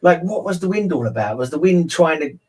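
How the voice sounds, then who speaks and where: A man's voice: fairly high pitch, lively intonation, a little fast, darkish tone. A man in a casual book discussion, rambling and thinking aloud as he repeats his phrases. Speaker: discussion panelist.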